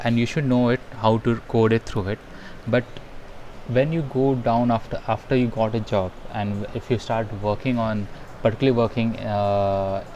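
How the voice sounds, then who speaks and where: Man, lowish voice, online instructor, hesitant and filler-heavy; faint noise on the call line. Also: darkish tone, low-quality recording. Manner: halting and hesitant, many fillers and restarts. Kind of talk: live online programming lesson. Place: home in a rainy town.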